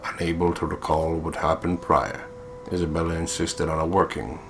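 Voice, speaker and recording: A man reading visual novel text aloud as a deliberate, storyteller-like narrator. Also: a bass voice, flat delivery, gravelly, background noise. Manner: deliberate, storyteller-like, playful putting on of voices. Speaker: gaming video co-player reading the text aloud. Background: game music.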